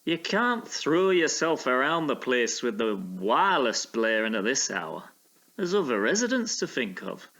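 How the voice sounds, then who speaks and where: A flustered period landlord, proper and easily exasperated. He blusters along at a middling pitch with very animated intonation, in the style of a ghost-story audio drama. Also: unhurried. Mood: flustered, scolding.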